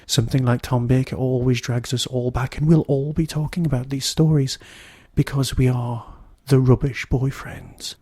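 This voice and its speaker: A man delivering a solo podcast review in a wry, enthusiastic way, with emphatic pauses. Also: medium pitch, steady tone, mellow, slightly rough, dry recording, studio quality.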